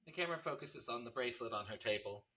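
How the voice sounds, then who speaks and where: Male narrator, bright tone, distant mic, scripted stage directions, table read. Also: medium pitch, expressive, fast talker.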